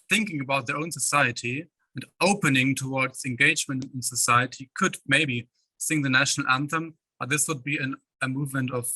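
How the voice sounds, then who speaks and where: A man's voice: mid-range voice, bright, forceful tone, no room sound, poor audio. A man reporting his group's discussion in an online seminar, hesitant and searching for words. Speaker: workshop participant.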